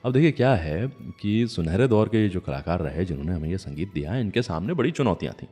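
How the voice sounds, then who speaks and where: A man's voice: low voice, expressive, fast, dark tone, breathy edge, in a large hall. A man hosting a radio show, telling stories in a conversational way. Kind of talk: conversational storytelling radio presentation. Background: music.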